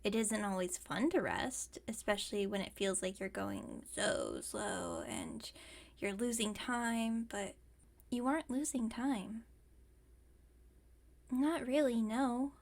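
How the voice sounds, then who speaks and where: Woman as a high-voiced, wry, caring werewolf roommate. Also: lively intonation, slow pace, creaky, exaggerated voice. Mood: gently reassuring. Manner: casual, teasing, rambling jokes, gently bossy. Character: caring, wry young female werewolf roommate, pack beta. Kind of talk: ASMR roleplay, intimate comforting monologue.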